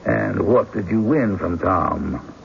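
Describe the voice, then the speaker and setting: An actor playing a formal, probing prosecutor with a deliberate official manner in a radio drama. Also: male voice, on the low side, gravelly, exaggerated voice. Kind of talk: 1970s radio drama acting. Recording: muffled, noisy old radio broadcast.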